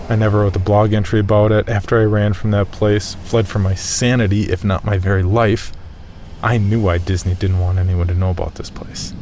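Male horror narrator, lowish voice, measured and tense, some background noise. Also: gravelly, a little mellow. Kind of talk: scripted first-person horror narration.

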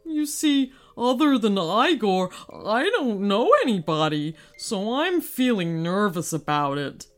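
A woman puts on a big, nervous, kindly male monster for a storybook. The delivery is slow, hesitant and bashful, rising and falling in pitch over faint music. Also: very animated. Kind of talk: children's storybook character voice.

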